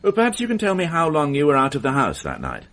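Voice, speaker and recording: Insistent detective, very animated voice. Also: male voice, fairly high pitch, quick pace, heavily acted. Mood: probing, formal. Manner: emphatic, insistent, reasoning aloud. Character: dogged male police detective, excitable, sure of his theory. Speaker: investigator. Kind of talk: radio mystery drama acting.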